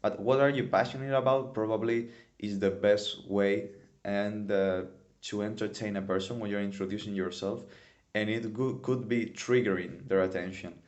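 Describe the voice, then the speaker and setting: A man's voice: on the low side, fairly even intonation, moderate pace, a little mellow, lo-fi sound. Spanish-accented young male English tutor. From Spain. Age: 20s. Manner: non-native phrasing, repeats words for emphasis. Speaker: English teacher. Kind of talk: casual spoken lesson.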